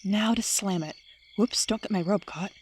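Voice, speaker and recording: A woman's voice: mid-range voice, moderate pace, heavy background noise, close to an everyday voice. A woman acting a skeptical, sarcastic young prankster in an audio drama, with a dry delivery. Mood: irritated, muttering. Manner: dry, sardonic.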